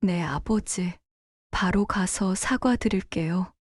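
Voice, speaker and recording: A woman acting a meek, low-voiced grown daughter. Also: takes it slowly, darkish tone, no echo, studio quality. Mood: contrite, meek. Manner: meek, hesitant. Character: cowed, apologetic grown daughter, anxious before father. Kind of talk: dramatized storytelling.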